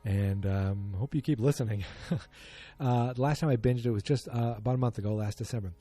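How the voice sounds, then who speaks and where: A man's voice: medium pitch, brisk, mellow, no echo, faint music behind. A man hosting a solo podcast, chatting casually in a rambling, self-deprecating, joking way. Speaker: podcast host.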